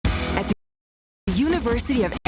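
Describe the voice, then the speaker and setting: A woman's voice: on the high side, lively intonation, normal speed. A woman reading a scripted promotional voice-over as an advertisement announcer. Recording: loud music under the voice. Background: loud music.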